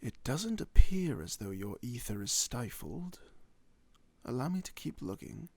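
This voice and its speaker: A man playing a courteous werewolf doctor in a fantasy ASMR roleplay, speaking formally and reassuringly with old-fashioned wording and a husky voice. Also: medium pitch, big pitch swings, unhurried, darkish tone. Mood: inquisitive, calm.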